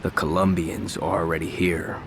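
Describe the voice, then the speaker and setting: A man's voice: low voice, flat delivery, normal speed, noisy background. A man acting a hot-tempered gang lieutenant with a Latin American Spanish accent in a video game, swinging between sullen deference and temper. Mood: sullen, deferential.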